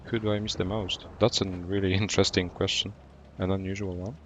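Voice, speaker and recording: A man from Poland with a Polish accent, streaming a game and chatting with viewers in a relaxed way, often pausing to think. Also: sits low, a little hiss or hum. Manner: relaxed, thinking aloud with fillers. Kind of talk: gameplay commentary and chat replies.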